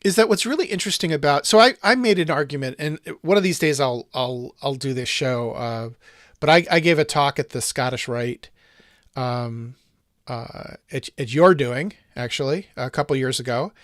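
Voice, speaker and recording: A man's voice: medium pitch, normal speed, a bit bright, no room sound, studio quality. A man hosting a casual discussion podcast, thinking aloud in long, rambling sentences full of restarts and asides. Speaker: podcast host.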